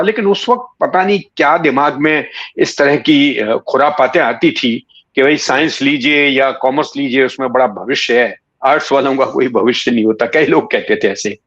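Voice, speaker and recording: A man in his sixties from Rajasthan reminisces in a conversational interview, telling stories in an unhurried way with hesitant pauses. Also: a little high, fairly bright tone. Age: 60s. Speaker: interview guest, writer and former cricket commentator. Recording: dull, muffled lo-fi audio.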